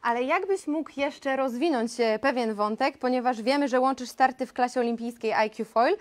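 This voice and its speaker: Young female moderator, higher-pitched, hesitant delivery reading questions. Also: moderate pace. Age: young adult. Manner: hesitant, frequent fillers. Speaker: press conference moderator. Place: conference room, indoors.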